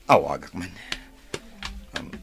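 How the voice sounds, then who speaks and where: An actor with a Filipino accent playing a flustered, evasive young son and sweetheart, blustering and pleading in a radio drama. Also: male voice, slow pace, over music, close to an everyday voice. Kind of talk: Ilocano radio drama acting.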